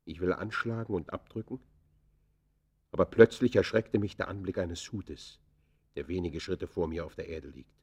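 An actor playing an embittered outlaw poacher, confessing in the theatrical, literary manner of a classic German radio play. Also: male voice, low voice, moderate pace, a little mellow, husky. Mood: tense, haunted.

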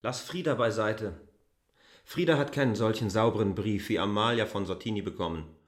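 An actor playing an indignant, stubborn outsider in a German radio drama, arguing insistently. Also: male voice, lowish voice, moderate pace, natural voice. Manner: argumentative, insistent. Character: indignant, stubborn male outsider defending his beloved.

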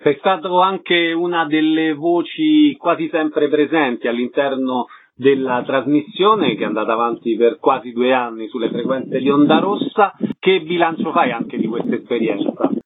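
A man's voice: mid-range voice, normal speed, few pauses. Guy hosting radio interview, asking questions, phone-like sound with bit of noise. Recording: noisy phone-like radio audio.

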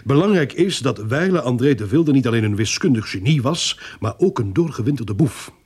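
A man's voice: mid-range voice, animated, fairly quick, darkish tone, slightly rough. An actor playing a brusque, domineering and scheming crooked policeman in a radio drama. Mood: explaining, impatient. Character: gruff crooked policeman, male, irritable and scheming.

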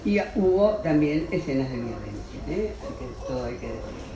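A man's voice: mid-range voice, very animated, normal speed. Guy at a panel, chuckles a lot, far from the mic, noisy echoey room. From Spain. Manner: rambling, self-correcting, chuckles often. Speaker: panel speaker. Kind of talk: spontaneous panel talk. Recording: roomy hall from far off, background noise.

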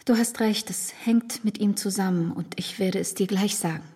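A husky, breathy woman's voice of middle pitch, an actress playing an affectionate but distressed married gentlewoman. She speaks gravely and hesitantly, full of feeling, in the manner of a period radio play. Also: normal speed, close to an everyday voice. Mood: hesitant, troubled. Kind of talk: period radio drama acting.

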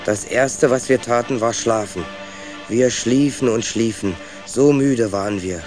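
A man's voice: medium pitch, a little slow, music in the background, natural voice. A man playing a reflective, wry rat who narrates his clan's voyage in a children's radio play. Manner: thoughtful, wry storyteller.

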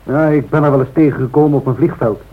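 An actor playing a modest, unruffled airline pilot in a radio drama, deferential and understated. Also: male voice, mid-range voice, fast, lightly acted. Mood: modest, matter-of-fact. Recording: thin, phone-like old radio recording.

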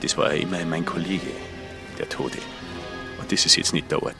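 A man's voice: low-pitched, little pitch movement, moderate pace, creaky. An actor playing an evasive, officious male bank clerk, placating and bureaucratic, in a radio drama. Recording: music behind the voice.

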